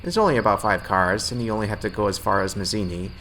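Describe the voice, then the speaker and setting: A man's voice: fairly low pitch, fast talker, fairly bright tone, a little hiss or hum, natural voice. A man playing a friendly rail yard night foreman, explaining things plainly in an audio drama. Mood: friendly, coaxing. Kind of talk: fan audio drama acting.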